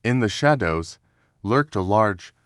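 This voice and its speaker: A man's voice: lowish voice, animated, slow pace, a bit bright, clear, resonant tone, no room sound, clean studio sound. A man reading scripted narration as the narrator of a children's storybook.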